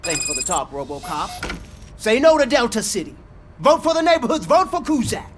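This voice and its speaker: A man's voice: high voice, big pitch swings, normal speed, a little hiss or hum. A man playing a slick, self-serving city mayor in a video game, with an oily, grandiose campaigner's delivery. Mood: persuasive, campaigning.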